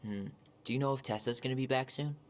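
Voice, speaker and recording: A man's voice: medium pitch, fast, a little hiss or hum, close to an everyday voice. An actor playing an earnest, probing young man, direct and reserved in naturalistic video game dialogue. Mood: polite.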